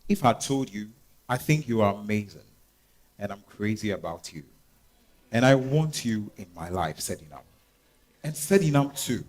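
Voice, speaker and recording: A man with a Ghanaian accent playing a smitten, pleading lover with halting, earnest phrasing in a stage reading. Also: on the low side, animated, a little mellow. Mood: confessional. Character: smitten, pleading male lover and deal-maker. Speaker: stage actor. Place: live staged reading at a festival.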